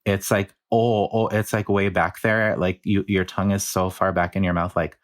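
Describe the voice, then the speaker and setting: Young guy with a new mexican chicano accent, low flat voice, lots of um and like, dry studio sound. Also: moderate pace, no echo. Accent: New Mexican Chicano English. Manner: thoughtful, explains with many fillers and restarts. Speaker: linguistics researcher guest. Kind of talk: conversational podcast interview.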